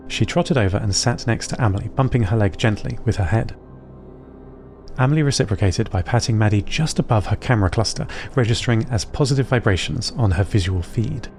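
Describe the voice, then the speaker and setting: A man narrating audio fiction as an observant, earnest robot, in a precise and measured way. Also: on the low side, expressive, darkish tone, faint music behind.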